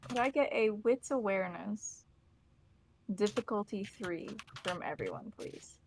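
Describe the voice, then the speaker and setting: A woman's voice: mid-range voice, lively intonation, unhurried, a little hiss or hum, rough recording. A woman acting as game master, narrating a tabletop roleplaying game and chatting casually with the players.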